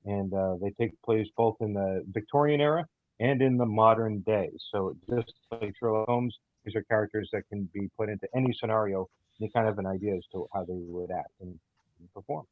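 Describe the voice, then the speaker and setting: A man giving casual interview answers as a guest writer, in a halting way full of ums and pauses. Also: lowish voice, dry recording, narrow, tinny audio. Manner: halting, filler-heavy delivery. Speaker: guest, audio theater writer.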